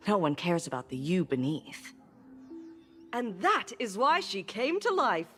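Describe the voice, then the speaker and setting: Smug theatrical quack doctor, highish voice. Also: female voice. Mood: grand pronouncement. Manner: pronouncing, theatrical. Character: smugly theatrical female quack doctor, coolly taunting. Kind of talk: video game voice acting.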